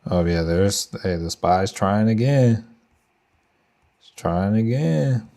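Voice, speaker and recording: Gravelly low-voiced Texan man. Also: animated, slow pace, dark tone. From Texas. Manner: thinks aloud, loose slangy talk to chat. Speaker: trading livestream host. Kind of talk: casual live market commentary.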